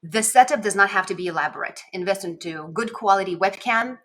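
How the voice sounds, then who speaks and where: A woman with a Lithuanian accent speaks at a middle pitch in a casual instructional vlog. She is conversational and encouraging, leaning on important words as a coach would. She records at her desk in a home office. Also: brisk, fairly bright tone. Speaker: video coach and host. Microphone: desk microphone at a webcam setup.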